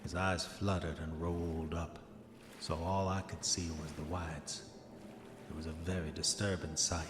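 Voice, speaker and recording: A man's voice: deep voice, flat delivery, measured pace, background noise. An actor playing a haunted, hot-tempered prospector confessing in his diary, with formal old-fashioned, brooding delivery in a horror audio drama. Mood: grim, self-justifying. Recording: echoey voice with long reverb, music behind. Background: music.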